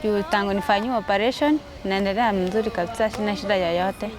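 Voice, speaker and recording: A woman's voice: medium pitch, a little fast, over music. A woman patient giving her testimonial in simple, relieved words.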